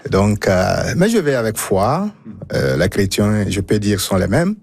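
A priest speaking hesitantly as a radio studio guest, with many pauses and fillers. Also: male voice, fairly low pitch, big pitch swings, vocal fry, darkish tone. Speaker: parish priest.

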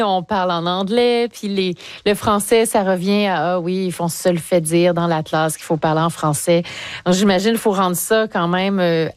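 A woman's voice: mid-range voice, a little mellow, no room sound. A woman leads a broadcast interview in an encouraging, friendly manner. Her voice sits in a mid register. The sound is dry and close. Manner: friendly, encouraging, light laughs. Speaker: radio host.

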